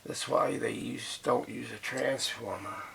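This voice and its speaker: Gravelly-voiced man muttering over workbench repairs. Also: medium pitch, unhurried, pushed and bright. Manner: muttering to himself while inspecting and handling parts. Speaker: radio repair hobbyist narrating a restoration. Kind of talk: casual unscripted workbench commentary. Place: indoor repair workshop. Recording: noisy workshop recording with clicks.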